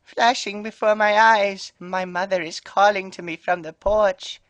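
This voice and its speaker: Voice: medium pitch, fairly even intonation, unhurried, a bit bright, no echo, close to an everyday voice. A performer voicing a doddering old male mouse in a comedy cartoon parody, theatrical and muddled. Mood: dying, muddled.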